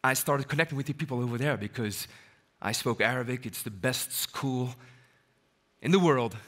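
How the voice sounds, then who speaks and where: A man giving a motivational keynote on stage before a live audience. He is animated, jokes with the crowd and switches into French and Arabic mid-talk. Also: mid-range voice, moderate pace. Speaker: keynote speaker.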